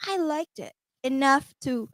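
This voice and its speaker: Woman talking books on the radio, kinda high voice, dry close sound, chatty and emphatic. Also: animated, unhurried, no room sound. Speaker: radio panelist. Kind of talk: casual radio chat.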